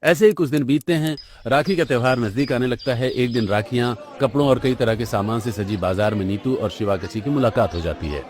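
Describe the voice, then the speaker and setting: A man's voice: medium pitch, fairly quick, few pauses, darkish tone. A man narrating a cartoon story in a scripted storytelling style.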